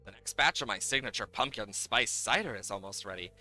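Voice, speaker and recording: Man reading as a bright, hospitable cider brewer. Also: lowish voice, lively intonation, a little fast, faint music behind. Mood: welcoming. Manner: neighbourly, inviting. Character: friendly hospitable pumpkin cider brewer in a farming game. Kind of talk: reading video game dialogue.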